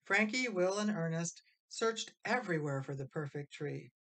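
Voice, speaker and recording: A woman reading a children's story aloud as expressive scripted narration. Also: fairly low pitch, moderate pace. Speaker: storybook reader.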